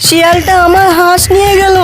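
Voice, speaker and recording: An anxious farmer's wife in a village cartoon, played by a woman, with an extremely high voice. She is plaintive and emotive, with faint music underneath. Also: level tone, fairly quick. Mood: alarmed.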